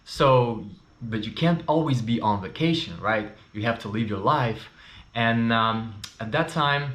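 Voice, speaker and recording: Vlogger, thirties man, Russian-Ukrainian accent, lowish voice, candid and chatty, echoey room. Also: a bit bright. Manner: candid, chatty, frequent fillers. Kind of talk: casual personal story to camera. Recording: roomy echo.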